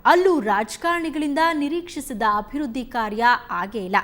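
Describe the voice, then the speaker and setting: A woman's voice: high voice, lively intonation, normal speed. A woman presenting scripted television news. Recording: loud music under voice.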